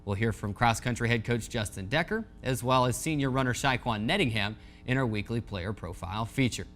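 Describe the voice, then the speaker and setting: A man hosting a sports show, reading a scripted recap of game scores and highlights. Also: fairly quick, fairly bright tone.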